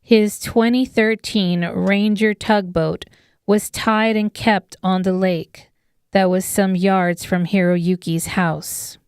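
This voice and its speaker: A woman's voice: medium pitch, fairly even intonation, slow pace, a little mellow, clean tone, dry recording, clean studio sound. A woman reading scripted narration of an apocalyptic horror audiobook. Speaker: author narrating her audiobook.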